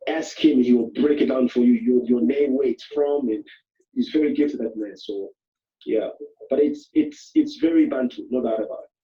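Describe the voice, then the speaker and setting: A man's voice: medium pitch, moderate pace. Man preaching over an echoey, dull call line. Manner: warm, repeats "you know", exclamations like hallelujah. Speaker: preacher answering questions. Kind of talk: casual spiritual talk on a call.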